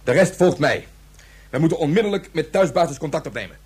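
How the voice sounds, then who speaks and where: A man's voice: on the high side, lively intonation, quick pace, a little mellow, slight background noise, close to an everyday voice. A man playing a curt, domineering colonel in a science fiction radio drama, barking clipped orders. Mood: stern, demanding. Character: curt, domineering military colonel in space invasion.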